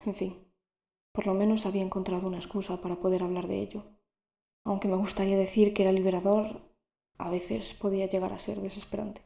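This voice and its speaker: A woman's voice: mid-range voice, level tone, a little fast, gravelly, dark tone, roomy sound, close to an everyday voice. A woman playing a lonely, fearful teenage girl in an audio drama, with an introspective, confiding manner. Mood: confiding, weary. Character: lonely, fearful teenage girl narrating her secret visions. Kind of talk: first-person audio drama narration and dialogue.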